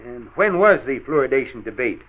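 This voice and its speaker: A man's voice: medium pitch, lively intonation, fairly quick, reverberant, natural voice. An actor playing an elderly, genial, dogged grandfather with a folksy, hesitant manner in a 1940s radio drama. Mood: genial, curious. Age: older. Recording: muffled, band-limited 1940s radio recording.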